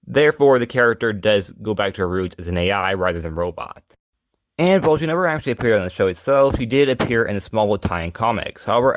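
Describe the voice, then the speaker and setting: A man's voice: lowish voice, no echo. A man hosting a retrospective video, reading informal scripted narration. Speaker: host of a character retrospective video series.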